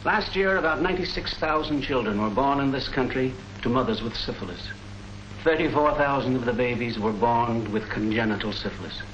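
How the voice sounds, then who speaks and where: An actor playing a sober, quietly troubled military doctor who explains formally, in the style of a 1940s public education film. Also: male voice, mid-range voice, a little fast, faint music behind, close to an everyday voice. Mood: formal, factual. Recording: muffled 1940s film soundtrack, faint noise.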